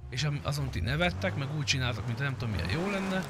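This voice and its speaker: Man streaming, with clear background noise. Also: medium pitch, very animated. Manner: slangy, swearing, addressing chat and friends. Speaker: game streamer. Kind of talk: casual live gameplay commentary with roleplay.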